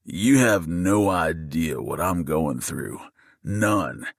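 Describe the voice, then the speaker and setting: A man voicing a gruff, low-voiced masked gunman who threatens a family, in an audiobook. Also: expressive, slow pace, no room sound, clean studio sound, exaggerated voice. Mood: threatening.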